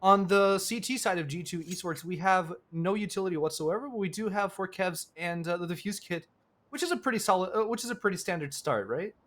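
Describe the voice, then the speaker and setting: A man giving play-by-play commentary on an esports match, calling the action briskly as it unfolds. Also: sits high, fairly bright tone. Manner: brisk, calls action as it happens.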